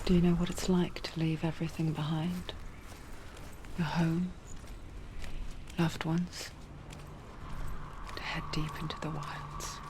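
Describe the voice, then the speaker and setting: A woman voicing a hushed, ominous narrator in a dark fantasy game, confiding slowly and deliberately in a breathy whisper. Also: low-pitched, flat delivery, slight background noise.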